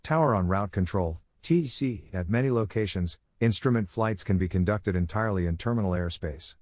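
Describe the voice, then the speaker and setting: A man reading a technical handbook aloud as scripted audiobook narration, in a measured, instructional manner. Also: fairly low pitch, a little mellow, no room sound.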